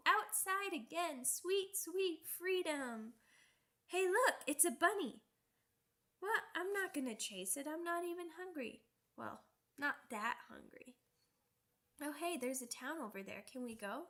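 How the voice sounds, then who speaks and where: A woman voicing an excitable, stubborn young werewolf pup in an ASMR roleplay, with childish, halting, repetitive speech. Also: very high voice, heavily acted. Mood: excited, eager. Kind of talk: ASMR character roleplay audio drama.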